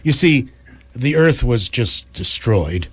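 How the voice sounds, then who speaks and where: A man's voice: mid-range voice, expressive, takes it slowly, husky. A man acts as a wry narrator in a science fiction radio comedy. His voice sits in a medium register, telling the story as a storyteller would.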